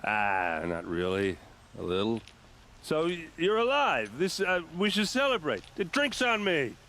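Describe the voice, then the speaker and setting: Boisterous, high-pitched tipsy soldier. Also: male voice, sing-song, measured pace, fairly bright tone, a little hiss or hum, heavily acted. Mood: tipsy cheer. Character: boisterous, tipsy male soldier greeting a friend. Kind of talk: cinematic video game acting.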